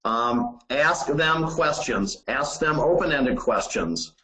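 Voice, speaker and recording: Man, echoey room sound, medium pitch, informal trainer, halting conversational delivery. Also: animated, pushed and bright. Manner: halting, conversational, often says "you know". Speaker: sales trainer.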